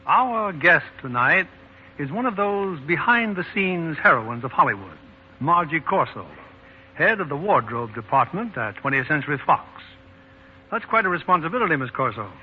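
A man's voice: a little high, sing-song, normal speed, slight background noise. A man hosting a 1940s radio show, presenting and narrating in a formal, polished, scripted manner. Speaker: host and producer. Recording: dull 1940s radio broadcast with music.

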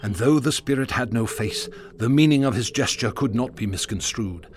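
A man's voice: medium pitch, over music. Solemn man narrating a ghost story. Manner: measured, solemn.

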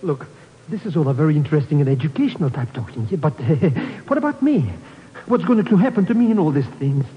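An actor playing an excitable, cowardly schemer of a sidekick in a 1950s radio drama, stammering and wheedling. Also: male voice, on the high side, fairly quick, breathy edge, heavily acted. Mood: frightened. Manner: stammering, wheedling, swooping exclamations. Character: excitable, cowardly comic foreign sidekick, a fast-talking schemer. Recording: noisy, dull old 1950s radio recording.

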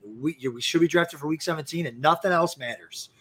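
A man's voice: a little high, brisk, bright, forceful tone, breathy edge. A man co-hosting a fantasy sports show in casual, informal chat, often repeating words as he goes. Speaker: fantasy football show co-host.

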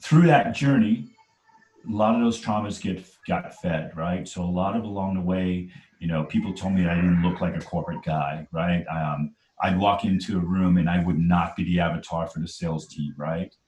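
A man in his forties from Trinidad speaks in a very low voice and tells his story earnestly as an interview guest. He leans into his points and keeps checking in with "right?". The room around him adds a clear echo. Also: fairly even intonation, moderate pace, darkish tone. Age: 40s. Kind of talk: conversational storytelling.

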